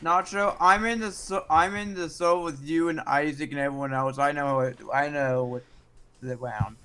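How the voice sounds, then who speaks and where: A man's voice: on the high side, fairly even intonation, moderate pace, bright, forceful tone, clean tone. A man chatting casually over a faintly noisy voice chat microphone. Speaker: participant in a voice chat.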